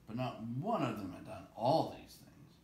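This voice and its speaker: A man narrating a storybook aloud in an expressive read-aloud style. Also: medium pitch, moderate pace. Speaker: storybook reader. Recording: echoey room with faint background noise.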